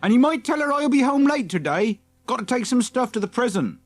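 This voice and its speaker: A man voicing a brisk, offhand working man in a hurry for an audiobook. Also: very high-pitched, big pitch swings, pitched above the performer's own voice, full character voice. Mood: hurried, offhand. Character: hurried, gruff working man, shopwoman's grown son. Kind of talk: audiobook character dialogue.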